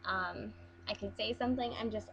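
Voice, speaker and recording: A woman's voice: mid-range voice, moderate pace, fairly bright tone. Young woman, from Las Vegas, casual chatty vlog, hesitant ums, muffled webcam sound, faint hum. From Las Vegas, USA. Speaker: vlogger. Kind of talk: casual accent challenge video.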